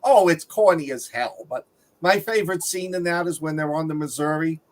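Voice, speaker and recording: A man with a notably high voice talks in a casual, explanatory way. He sounds like one of a panel chatting about movies. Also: moderate pace. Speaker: podcast panelist discussing films.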